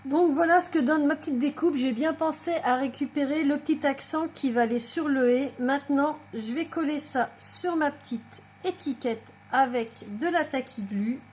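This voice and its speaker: High-voiced chatty woman crafting. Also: moderate pace, a little hiss or hum. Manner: chatty, hesitant with many fillers; quiet, murmured while working on the craft. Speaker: crafting YouTuber. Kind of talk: casual card-making tutorial.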